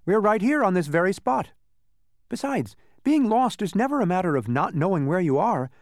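A man's voice: high voice, very animated, normal speed, dry recording, clean studio sound, voice pushed up. A man voicing an airy, cocksure boy in a storybook, talking in confident, matter-of-fact riddles. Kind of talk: audiobook character voice.

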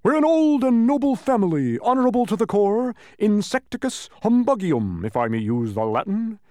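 A blustering old fraud of a beetle from a storybook. The voice booms at a raised pitch with huge swoops, grand, boastful and pompous. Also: male voice, sing-song, normal speed, warm tone, no room sound, clean studio sound. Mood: grandly boastful. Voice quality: booming. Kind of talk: animated storybook character reading.

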